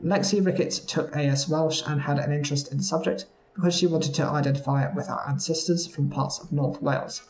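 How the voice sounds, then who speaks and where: A man reading news stories aloud at a steady pace, sometimes stumbling over words. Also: fairly high pitch, fairly quick, few pauses, roomy sound, low-quality recording. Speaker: news reader.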